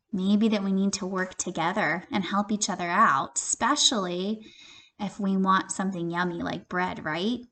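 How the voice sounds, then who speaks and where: A woman teacher giving an interactive children's read-aloud, expressive and questioning, speaking directly to young listeners. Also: mid-range voice. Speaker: primary school teacher.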